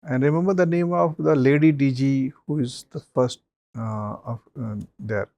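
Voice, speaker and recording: A man, a mock interview panelist giving measured advice with many pauses and fillers. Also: medium pitch, fairly even intonation, a little mellow, highs cut off.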